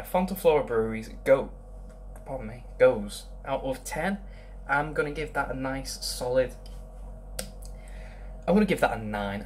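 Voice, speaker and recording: A man's voice: medium pitch, a little mellow, slight background noise. A young man casually reviewing a beer at home, rambling with lots of ums. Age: young adult. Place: small room indoors.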